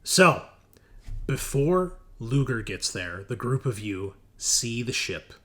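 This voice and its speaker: A man improvising narration as game master of a tabletop game, often halting to search for words. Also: mid-range voice, big pitch swings, fairly bright tone. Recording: noisy room recording.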